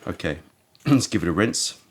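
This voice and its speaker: A man hosting a casual tea tasting, his voice croaky from having just woken up. He talks slowly and musingly, often stopping to search for the right word. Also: low voice, expressive, background noise. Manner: slow, musing, searching for words. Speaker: tea shop host.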